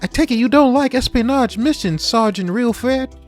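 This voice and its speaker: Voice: mid-range voice, moderate pace, soft background music, close to an everyday voice. A voice actor playing an eager, cheeky talking field mouse, a humble police corporal in a comic dub. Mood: chipper. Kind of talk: comic dub character acting.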